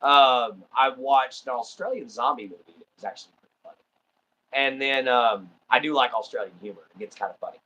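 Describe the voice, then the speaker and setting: Bright-voiced Texan man, rambling chat. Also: medium pitch, fairly quick, lo-fi sound. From Southeast Texas. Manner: rambling, easygoing, many ums and uhs. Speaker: livestream host. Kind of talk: casual chat.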